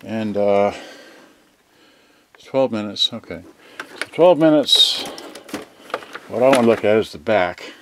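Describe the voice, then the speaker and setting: Unhurried man muttering through a noisy demonstration. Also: on the low side, expressive. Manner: distracted, mumbling to himself as he handles things. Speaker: product reviewer. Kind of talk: casual unboxing demonstration. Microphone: camera on tripod, varying distance. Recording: clearly noisy home recording with clicks.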